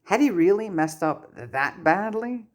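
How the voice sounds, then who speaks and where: Woman w low voice narrating a fanfic story, reading it out. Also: expressive, measured pace. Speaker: narrator, fan fiction reader. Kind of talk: scripted story narration with acted dialogue.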